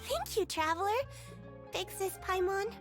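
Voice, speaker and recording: A woman's voice: very high voice, unhurried, soft background music. A woman voicing a small, forgetful girl of a non-human race in a game, speaking cheerfully and innocently like a child. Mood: sweet.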